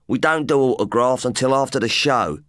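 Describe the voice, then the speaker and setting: A man's voice: mid-range voice, fairly quick, fairly bright tone, no room sound, studio quality, lightly acted. A man voicing a witty, flippant young rock singer who mocks, as audiobook dialogue. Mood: mocking. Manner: mocking, flippant.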